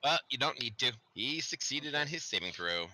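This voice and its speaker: A man's voice: mid-range voice, sing-song, moderate pace, pushed and bright. A man running a tabletop game as dungeon master, narrating playfully with sound effects.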